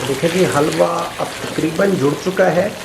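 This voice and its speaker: A man's voice: on the high side, moderate pace, vocal fry. A man hosting a cooking show. He explains each step steadily and repeats his tips. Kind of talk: cooking demonstration. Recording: echoey with faint noise.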